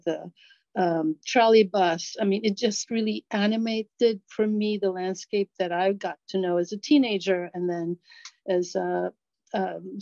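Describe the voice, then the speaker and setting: Mellow-voiced middle-aged woman from Bulgaria. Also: mid-range voice, dry recording, dull audio. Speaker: audience member. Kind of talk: community discussion comment.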